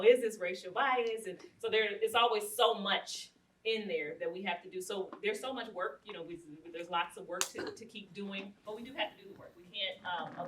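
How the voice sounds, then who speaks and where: A woman moderating a conversational discussion, thinking aloud and posing rhetorical questions. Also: medium pitch. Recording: echoey, distant mic with faint noise.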